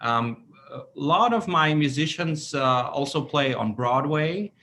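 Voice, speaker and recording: A man speaking as an orchestra conductor in an interview, halting and often stammering as he searches for words. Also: on the high side, lively intonation, sharp tone. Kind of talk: interview talk.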